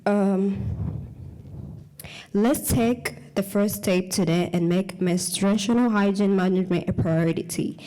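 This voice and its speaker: A woman's voice: mid-range voice, warm tone, low-quality recording. A young woman giving an earnest, prepared speech to an audience, often stopping to restart a word. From Congo, raised in Uganda. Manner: earnest, halting, with frequent restarts on words. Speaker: community speaker.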